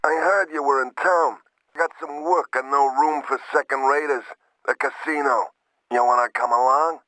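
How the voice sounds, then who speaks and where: Man as video game gangster boss, sharp forceful voice, slangy wiseguy delivery, clipped orders, dry close sound. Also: medium pitch, fairly even intonation, moderate pace, no echo, natural voice, poor audio. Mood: bargaining. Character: streetwise mobster boss handing out driving jobs. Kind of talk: video game cutscene acting.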